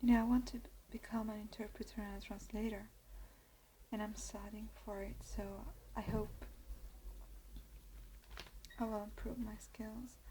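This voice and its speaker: A young woman with an Italian accent, Italian her first language, whispering softly and hesitantly in an ASMR video. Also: mid-range voice, flat delivery, normal speed, long pauses, a little hiss or hum, poor audio. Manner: soft whisper, hesitant with many fillers. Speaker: ASMR creator.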